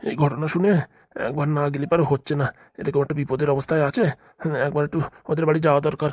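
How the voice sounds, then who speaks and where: Give this comedy cartoon a worried middle-aged father, acted by a woman. The voice sits low and rattles along very quickly. He frets in homely, colloquial speech, and the sound is very dry. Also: steady tone, darkish tone, slightly rough, dry recording. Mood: concerned. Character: worried middle-aged father and future father-in-law, chatty, caring.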